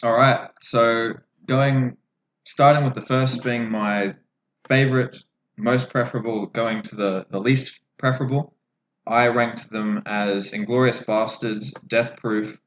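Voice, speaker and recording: A man's voice: mid-range voice, fairly even intonation, a little slow, fairly bright tone, highs cut off. A man co-hosting a podcast in casual banter, needling the others in a dry, contrarian way. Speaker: podcast co-host. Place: echoey indoor room with other co-hosts. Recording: roomy echo, background noise, clicks.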